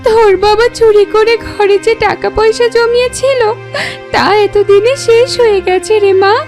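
A tender, worried cartoon mother fox put on by a woman. Her voice is extremely high and plaintive as she coaxes. Music plays clearly behind her. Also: fairly even intonation, a little slow. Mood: tender, sad. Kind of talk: children's cartoon dialogue.

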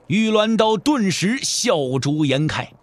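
A man's voice: high voice, very animated, takes it slowly, dry recording. A man giving dramatic scripted narration for an audio drama.

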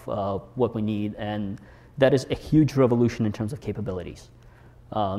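A man's voice: medium pitch, warm tone. A young man giving a casual, wry technical talk on a conference stage, speaking into a lapel mic with frequent fillers and restarts. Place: large conference hall on stage.

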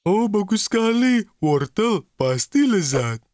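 A man voicing an excitable, childlike, easily scared little fellow in a children's cartoon, with a dramatic, sing-song manner. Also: high voice, slow, background noise, full character voice. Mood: playful. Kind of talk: animated children's cartoon dub.

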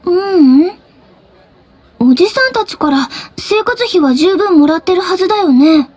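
Very high-voiced sweet schoolgirl. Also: animated, moderate pace, a little mellow. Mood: gentle, reassuring. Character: sweet, gentle teenage schoolgirl, childhood friend. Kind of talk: Japanese anime-style game acting.

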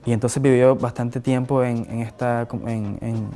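A man's voice: mid-range voice, flat delivery, measured pace, warm tone, faint music behind. A man, a visual artist answering interview questions reflectively, with many restarts and tag questions, seated indoors on camera. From Nicaragua. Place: indoor office with flag and shelves. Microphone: fixed camera, seated medium shot.

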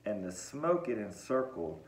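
A man in his forties reading a rhyming storybook aloud to children, with a sing-song delivery and dramatic pauses. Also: lowish voice, very animated. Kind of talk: expressive read-aloud of a Christmas verse storybook. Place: living room at home, indoors. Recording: roomy, echoing home recording.

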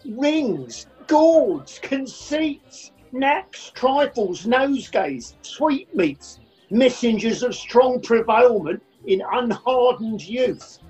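A woman's voice: on the high side, very animated, drawn-out words, a little mellow, muffled recording, soft background music, exaggerated voice. A woman acting an indignant, stern parent in a Shakespearean radio play, declaiming emphatically. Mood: indignant, accusing. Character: vexed, stern parent demanding obedience from a daughter.

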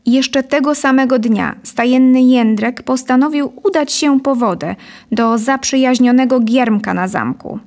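A woman in her thirties narrating a scripted story deliberately and expressively into a close studio microphone. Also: sits high, darkish tone. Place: dim studio set, indoors. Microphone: studio condenser on a boom, close.

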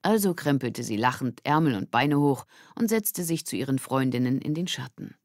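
Children's audiobook narrator, medium pitch, scripted storytelling, dry close recording. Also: animated, moderate pace, no room sound.

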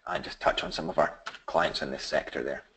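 A middle-aged man with a Scottish accent presenting a business webinar, halting with many fillers and restarts. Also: extremely low pitch, fairly even intonation, a bit bright, breathy edge. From Scotland.

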